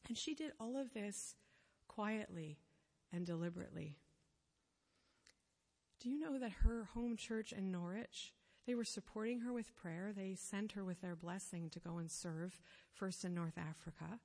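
A woman giving a sermon, telling a story in an earnest, measured way, pausing phrase by phrase. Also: mid-range voice, darkish tone. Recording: long reverb of a hall.

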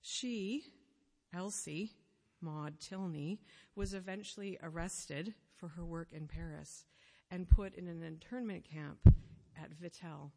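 A woman giving a sermon, telling a story in an earnest, measured way, pausing phrase by phrase. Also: fairly low pitch, gravelly, a little mellow. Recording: long reverb of a hall.

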